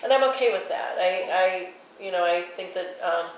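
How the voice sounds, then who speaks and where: A woman's voice: on the low side, normal speed. A woman hosting a round-table talk, earnest and appreciative as she sums up the discussion. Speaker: host. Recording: very echoey room, mic far off, faint noise.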